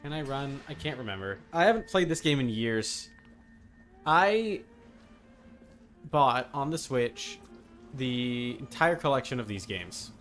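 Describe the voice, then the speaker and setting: A man's voice: medium pitch, animated, a little slow, long pauses, a bit bright, faint music behind. A man doing casual live game-stream commentary, muttering to himself and repeating his phrases. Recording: noticeable background noise.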